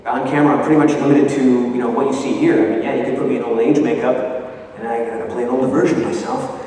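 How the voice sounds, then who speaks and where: A man who works as a voice actor, talking casually and anecdotally in an interview, with an animated manner. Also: medium pitch, fast talker. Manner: animated, acts out bits of dialogue. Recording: film playback echoing in a distant hall.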